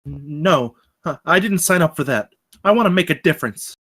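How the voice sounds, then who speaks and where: An actor playing an earnest, naive rookie campus security cadet in a comedy table read, excitable and eager. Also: male voice, on the high side, normal speed. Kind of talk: comedy script read aloud.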